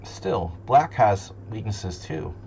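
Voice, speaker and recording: A man with a slightly low voice talks very slowly as he thinks aloud over a chess game for a stream. His commentary is casual and deliberating, often muttered to himself. The sound is dull, with a loud hum underneath. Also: expressive, creaky.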